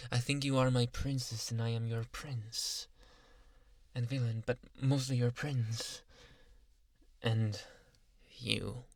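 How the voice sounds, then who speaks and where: A man playing an obsessive, doting young yandere Uber driver in an intimate ASMR roleplay, coaxing and smugly pleased with himself, with halting speech and soft whispered asides. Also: medium pitch, creaky, dry recording, studio quality, heavily acted. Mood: teasing, doting.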